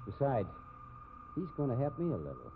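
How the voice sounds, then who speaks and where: A man's voice: medium pitch, wildly swooping pitch, moderate pace, gravelly. An American man playing a jealous, scheming rodeo cowboy husband with swaggering Western slang, in the style of 1950s radio drama. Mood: sly, cocky. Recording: muffled, hissy old radio broadcast.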